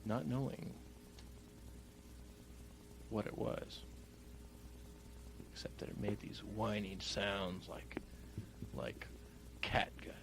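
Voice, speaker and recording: A man with a gravelly voice telling an improvised bedtime story in halting, drawn-out phrases. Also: fairly low pitch, expressive. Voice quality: gravelly, creaky. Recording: noisy home recording with hum.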